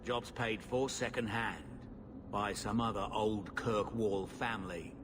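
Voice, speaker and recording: An actor playing a gruff, cynical underworld boss in a video game cutscene, blunt and sardonic, with background ambience. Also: male voice, on the low side, fairly even intonation, measured pace, noisy background, lightly acted. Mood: sardonic, matter-of-fact.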